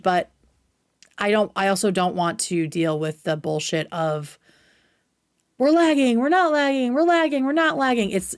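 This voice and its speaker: Woman, livestream host, casual informal chat, mid-pitched voice, dry close recording, sweary. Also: clear, resonant tone, dry recording. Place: indoors at home.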